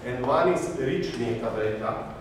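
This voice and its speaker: A man's voice: mid-range voice, unhurried, distant mic. A middle-aged man from Slovenia with a Slovenian accent, giving a technical conference talk and naming items one by one with light self-deprecating humour. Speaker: conference speaker. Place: large conference hall. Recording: echoey hall, speaker off-mic, audible noise.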